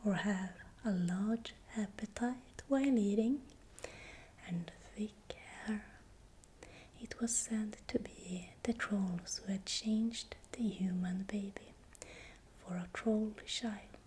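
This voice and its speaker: A woman narrating in ASMR style in a breathy, unvoiced whisper, speaking softly and deliberately. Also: mid-range voice, slight background noise. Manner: slow, deliberate, repeats words softly. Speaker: ASMR creator narrating folklore.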